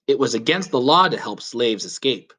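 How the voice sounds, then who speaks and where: Man reading aloud to children, very animated pitch. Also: normal speed, slightly rough. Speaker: teacher reading a story aloud. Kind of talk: children's read-aloud narration.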